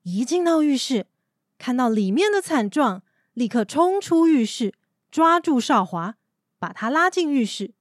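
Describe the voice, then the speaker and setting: A woman narrating a family radio drama in a calm, measured way. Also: high-pitched, no room sound, clean studio sound. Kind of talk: scripted storytelling narration.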